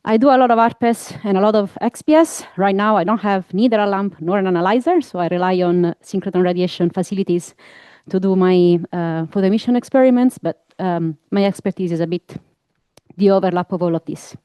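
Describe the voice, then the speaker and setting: A woman from Italy with an Italian accent, presenting her research at a conference in a relaxed, fluent way with soft fillers. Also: mid-range voice, a little fast, clear, resonant tone, dry recording. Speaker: researcher giving a talk.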